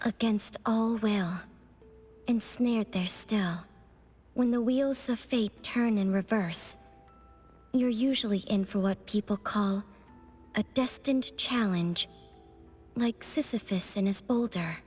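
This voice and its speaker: A woman playing an enigmatic fortune-telling witch in a video game, speaking in a cryptic, deliberate, oracular manner. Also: mid-range voice, darkish tone, slightly rough. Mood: mystical, trancelike. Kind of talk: scripted video game voice acting. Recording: music behind the voice.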